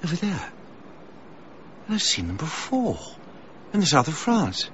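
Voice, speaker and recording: A man's voice: fairly high pitch, huge pitch swings, unhurried, a bit bright, full character voice. A well-meaning British know-all of an ex-army colonel in a radio drama. He is polite and a touch pompous, with very varied intonation. The recording is somewhat dull with noise in the background. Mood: hushed, wondering. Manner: polite, hesitant, slightly pompous.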